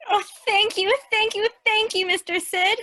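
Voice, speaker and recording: An eager, youthful eighteen-year-old girl, played by a woman in a radio drama. Her voice is high and bright with an earnest manner, recorded dry and close. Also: fairly even intonation, a little slow, no echo, exaggerated voice. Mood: elated. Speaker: actor in a radio play. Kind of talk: radio play acting.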